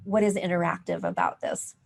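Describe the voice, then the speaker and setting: A woman presents an informal workshop for educators. Her voice sits a little low, and she explains conversationally, thinking aloud as she goes. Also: steady tone, fairly quick, gravelly. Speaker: workshop presenter on teaching reading. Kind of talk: informal professional development talk.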